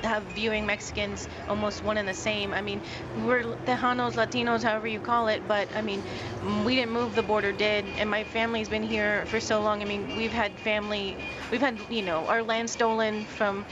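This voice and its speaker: A woman's voice: medium pitch, level tone, moderate pace, muffled recording, music in the background. A woman from Texas on a panel, sharing her experience with many hesitant fillers. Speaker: panel guest. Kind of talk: casual discussion.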